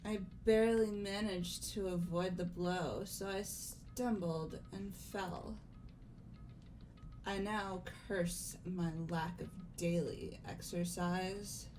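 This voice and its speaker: Composed, formal young female game character, played by a woman; slow, measured, halting delivery over prominent music. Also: on the low side, steady tone, a little mellow, rough recording. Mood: grave, formal. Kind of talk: dramatic video game voice acting.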